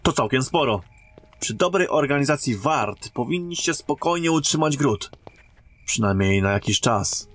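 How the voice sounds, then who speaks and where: A man acting a courteous royal emissary and captain in a fantasy audio drama, calm and authoritative. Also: medium pitch, moderate pace, no room sound. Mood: assessing.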